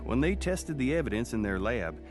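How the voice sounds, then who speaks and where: Male actor as folksy Southern country narrator, mid-pitched storytelling over prominent music, radio drama. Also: very animated, moderate pace, natural voice. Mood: reflective, storytelling. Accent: rural Southern American. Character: folksy country son recounting his rural boyhood. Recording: music under the voice.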